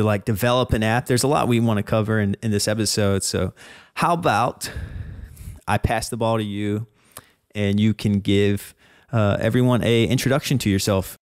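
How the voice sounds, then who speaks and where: Podcast host, a man with a low voice, loose and chatty, dry close sound. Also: clear, resonant tone, no room sound. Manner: friendly, loose, many fillers. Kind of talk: casual interview.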